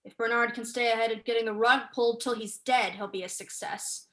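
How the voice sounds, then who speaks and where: A young woman student actor playing a sharp, unsentimental, sceptical scholar in a staged play reading. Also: fairly high pitch, fairly even intonation, fairly quick, poor audio. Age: young adult.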